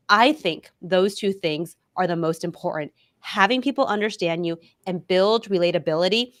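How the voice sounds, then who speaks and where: A woman's voice: fairly low pitch, no echo, clean studio sound. A woman hosting a live-streamed panel discussion, talking casually and animatedly about her own experience. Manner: conversational, animated retelling of her own thoughts. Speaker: host.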